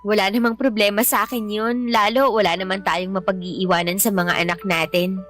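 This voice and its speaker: A woman playing a weary, sulky pregnant wife and mother in a radio drama, with a plaintive, expressive delivery. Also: medium pitch, fairly quick, faint music behind, close to an everyday voice. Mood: hopeful, appeased. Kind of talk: radio drama acting in dialogue.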